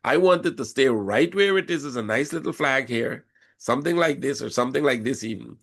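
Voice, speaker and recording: A man with a cold and a hoarse voice, explaining chart patterns step by step during a live trading session. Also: mid-range voice, lively intonation, fairly quick, a bit bright. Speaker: forex trading instructor. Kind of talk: live trading room commentary.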